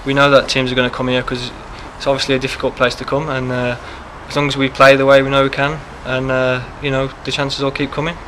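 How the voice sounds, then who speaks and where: A young man, a footballer, giving quick post-match answers into a close handheld mic, with many fillers. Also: mid-range voice, completely flat delivery, fairly bright tone, slight background noise, low-quality recording. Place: press backdrop, indoors. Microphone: handheld mic held close.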